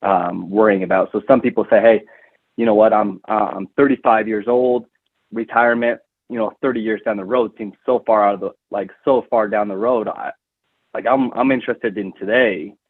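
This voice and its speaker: Low male voice on a thin phone-like line. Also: fairly even intonation, moderate pace, no echo. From Utah, United States. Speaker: podcast guest, wealth manager. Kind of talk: conversational explaining.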